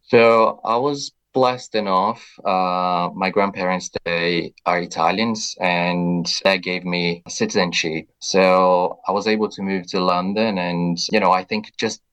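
A deep-voiced man with a Brazilian accent talks through his answers slowly and thoughtfully. He pauses on soft fillers as he gathers each thought. This is a relaxed radio interview guest. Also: clear, resonant tone, rough recording. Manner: reflective and measured, many soft fillers.

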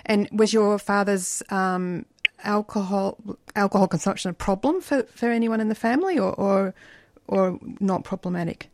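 Gentle woman interviewer, dry sound. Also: medium pitch, moderate pace, no room sound. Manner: gentle, with many short listening sounds. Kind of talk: interview questions.

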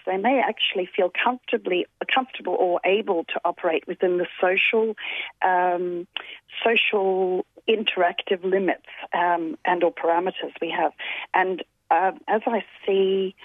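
A woman's voice: on the low side, fairly even intonation, moderate pace, no echo. A woman in her forties, a guest talking thoughtfully with many fillers and restarts, over a call. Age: 40s. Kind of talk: reflective interview talk. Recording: tinny phone-like line, dry.

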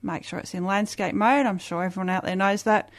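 A woman with a loud voice, a guest on a radio interview, talking eagerly with many restarts and fillers. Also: medium pitch, brisk. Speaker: guest, community festival organizer.

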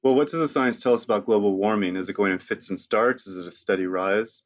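A male interviewer reading a scripted introduction, then asking brisk, curious questions. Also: on the low side.

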